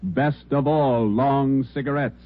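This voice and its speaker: A man's voice: mid-range voice, fairly even intonation, slow. A man announcing and narrating in formal, scripted 1950s radio style. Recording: tinny 1950 radio broadcast.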